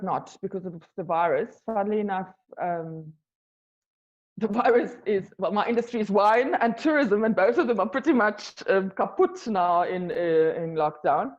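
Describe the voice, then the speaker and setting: A woman's voice: mid-range voice, darkish tone. Hesitant Afrikaans-speaking woman, muffled audio. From South Africa. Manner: hesitant, many fillers and restarts. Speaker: wine tour guide and business owner. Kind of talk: podcast interview answers.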